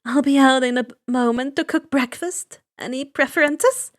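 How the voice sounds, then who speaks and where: A woman voicing a gentle, caring, slightly tentative woman in a story reading. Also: high voice, moderate pace, close to an everyday voice. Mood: cheerful, caring. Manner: kindly, tentative. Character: gentle, caring, soft-hearted woman lawyer.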